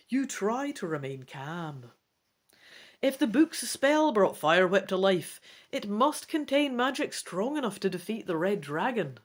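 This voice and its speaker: Lively, swooping-voiced woman reading a gamebook. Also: mid-range voice. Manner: self-deprecating, informal. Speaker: host of a gamebook let's play. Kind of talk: dramatic storybook reading with chatty asides.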